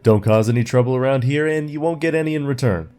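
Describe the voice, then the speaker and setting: A man playing a suspicious, gruff neighborhood guard who questions outsiders in a slow, threatening way. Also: medium pitch, warm tone, close to an everyday voice. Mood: wary. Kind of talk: fan audio drama acting. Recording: faint music behind.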